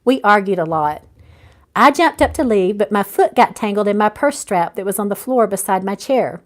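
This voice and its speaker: A woman's voice: mid-range voice, lively intonation, moderate pace, natural voice. A woman voicing a guarded, heartbroken businesswoman in a romance novel, confiding and rueful. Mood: sheepish, confiding. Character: weary, heartbroken businesswoman, guarded about lost love.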